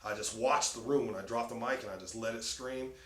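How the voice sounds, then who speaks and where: A man with a raspy voice, a stand-up comic telling stories in a casual chat with lots of laughter. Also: mid-range voice, fairly even intonation, a little fast, fairly bright tone. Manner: loose, laughing storyteller. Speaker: stand-up comedian, guest. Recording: echoey room, faint noise.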